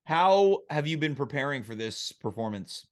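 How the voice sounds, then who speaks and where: A man's voice: medium pitch, very animated, normal speed. A man hosting a live online show, talking like an announcer with theatrical emphasis. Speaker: host.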